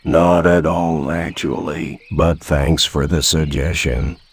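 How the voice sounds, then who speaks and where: A man voicing a gloomy, resigned toy donkey in a children's storybook, glum and halting. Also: rumbling low, fairly even intonation, slight background noise, pitched below the performer's own voice, heavily acted. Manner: glum, halting, downbeat delivery. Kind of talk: children's storybook read-aloud.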